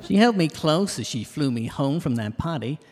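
A man playing a cheeky, self-mocking detective who narrates a comic audio drama in rhyme, landing puns deadpan. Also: fairly high pitch, moderate pace, lightly acted. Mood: wry, cheeky. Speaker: narrator and lead character. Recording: reverberant hall sound.

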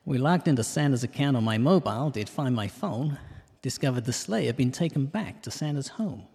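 Male narrator, mid-pitched, measured comic rhyming storytelling, recorded with long reverb. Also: animated, warm tone, slightly rough. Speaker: narrator of a comic detective podcast. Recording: long reverberant hall echo.

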